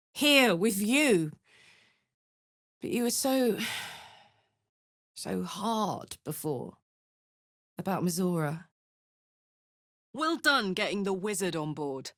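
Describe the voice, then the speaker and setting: A woman's voice: mid-range voice, fairly bright tone, no room sound. Tough tiefling fighter gal for a game, blunt but big-hearted, takes her time, dry close sound. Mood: tender, then playful. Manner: blunt, earthy, informal soldierly talk. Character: brash, warm-hearted tiefling warrior woman with a burning engine. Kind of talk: video game character voice acting.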